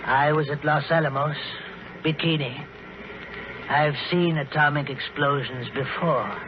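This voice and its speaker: A learned space expedition professor acted by a man, with a fairly high male voice and precise, scholarly delivery. It sounds like a muffled 1940s radio play with clear background noise. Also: fairly even intonation, a little slow, lightly acted. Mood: grave. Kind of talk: 1940s radio drama acting. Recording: muffled, noisy old 1940s radio recording.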